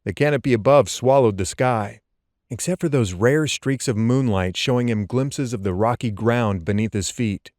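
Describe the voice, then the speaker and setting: A man narrating suspense fiction as an audiobook, in a tense, deliberate and dramatic storytelling style. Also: lowish voice, darkish tone, dry recording, clean studio sound.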